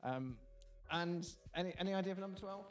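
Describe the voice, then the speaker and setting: A man hosting a casual live quiz, dry and wry, often pausing with ums. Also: sits high, soft background music. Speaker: quiz host.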